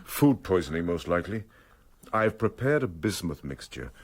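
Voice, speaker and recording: An actor playing an unruffled, businesslike Edwardian family doctor in a radio drama, with a gravelly voice. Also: male voice, deep voice, big pitch swings, normal speed, full character voice. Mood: reassuring.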